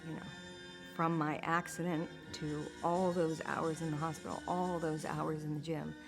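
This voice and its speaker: A woman's voice: low voice, little pitch movement, normal speed, over music. A woman, a Paralympic skier, tells her own story in a documentary interview, speaking candidly and often breaking into laughter mid-sentence.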